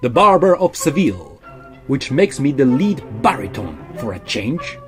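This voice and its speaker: A suave and smug baritone singer, acted by a man, with very lively intonation. He teases in the mannered style of a comic period audio play. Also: normal speed, faint music behind. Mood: wry, teasing. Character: suave, teasing male operatic baritone, smug rival.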